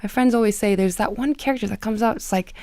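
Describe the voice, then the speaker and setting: Woman, interview guest from Turkey. Creaky edge, mid pitch, reflective conversational delivery. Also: fast talker, a little mellow, dry recording, clean studio sound. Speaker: playwright and actor, interview guest.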